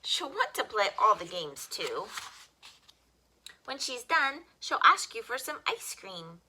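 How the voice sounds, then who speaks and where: A woman with a very bright, forward voice reads a picture book aloud for young children. Her pitch moves animatedly as she shapes each simple sentence expressively. There is faint noise behind her. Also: big pitch swings, takes it slowly. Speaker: story time host reading children's books. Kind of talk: children's read-aloud storytelling.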